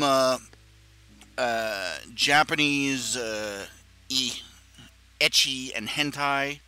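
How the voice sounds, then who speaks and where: A man's voice: a little high, sharp tone, clear, resonant tone, no echo. A man hosting a live stream, talking in a casual rambling monologue with many stammering restarts and chuckling asides.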